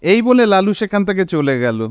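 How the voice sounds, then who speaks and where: A man's voice: high voice, sing-song, brisk, no echo, studio quality. A man with a Bengali accent narrating a cartoon story in a dramatic storytelling style. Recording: clear background noise.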